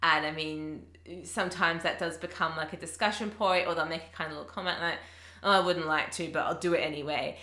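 Woman, English teacher, low pitch, bright tone, thoughtful, hesitant restarts, echoey room. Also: fairly even intonation, a little fast. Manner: thoughtful, uses many fillers and restarts. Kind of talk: casual conversation.

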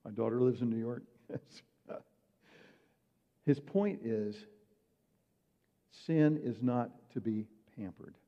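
A man's voice: medium pitch, slow, long pauses. Preaching man in a muffled echoing hall. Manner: conversational preaching with rhetorical questions. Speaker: pastor. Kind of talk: sermon.

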